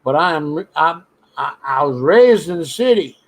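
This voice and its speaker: A man's voice: fairly high pitch, animated, moderate pace. Blunt, jokey man hosting a live stream. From New York. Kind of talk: casual chat on a live stream.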